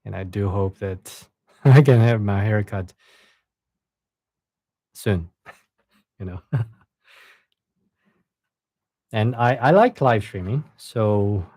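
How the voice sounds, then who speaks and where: A young man with a Chinese accent, a native Chinese speaker chatting casually on a live stream, soft-spoken and hesitant, often repeating words. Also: fairly low pitch, dry recording, studio quality. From China. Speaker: live streamer reading stories.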